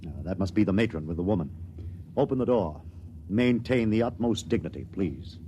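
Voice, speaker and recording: A young actor playing a dogmatic, stiffly commanding superior in 1950s radio drama style. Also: male voice, low voice, expressive, moderate pace, warm tone. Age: young adult. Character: rigid young male superior, dutiful. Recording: faint hiss, 1950s radio transcription.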